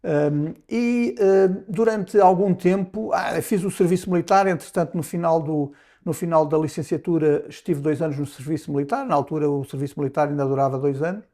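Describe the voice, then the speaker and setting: A Portuguese diplomat in his sixties with a Lisbon accent speaks in a mellow, slightly high voice. He talks in measured sentences and often pauses or repeats a word before going on. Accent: European Portuguese, Lisbon. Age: 60s. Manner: measured, with frequent hesitations and restarts. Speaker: ambassador, interview guest. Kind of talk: reflective interview answers.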